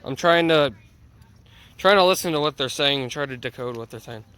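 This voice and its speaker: A man's voice: medium pitch, brisk, a bit bright. A young man chatting casually to the camera and his friends as a railfan vlogger. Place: outdoors near train tracks. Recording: outdoor noise, passing vehicles.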